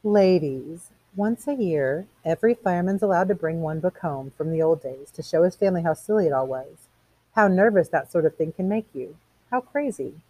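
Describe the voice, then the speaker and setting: A woman's voice: on the low side, animated, moderate pace, mellow, no room sound, poor audio. A woman voicing a nervous, fussy housewife from a novel, quavery and coaxing with forced cheer. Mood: nervous cover-up.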